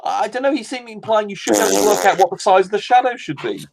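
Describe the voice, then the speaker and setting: A man's voice: high voice, quick pace, creaky, crowd noise. Man, casual reaction chat, incredulous, explaining. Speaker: commentator.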